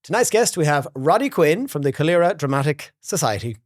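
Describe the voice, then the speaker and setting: A man's voice: fairly high pitch, big pitch swings, a little fast, clean studio sound. A middle-aged man with an Irish accent hosting a casual chat interview, eagerly chiming in and echoing his guest. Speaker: talk show host and interviewer.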